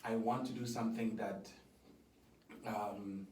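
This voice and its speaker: A man's voice: on the low side, little pitch movement, slow pace, a little mellow. A man in casual conversation, explaining his ideas in an earnest way. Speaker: interviewer and creator of a brand project. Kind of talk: casual chat. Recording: roomy, distant mic, faint background noise.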